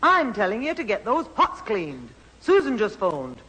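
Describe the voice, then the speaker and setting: An actress playing a brisk, bossy, exasperated mother who scolds in a no-nonsense way in a radio sitcom. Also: mid-range voice, huge pitch swings, sharp tone, full character voice. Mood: scolding. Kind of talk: 1970s radio sitcom acting. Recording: reverberant old radio recording, faint noise.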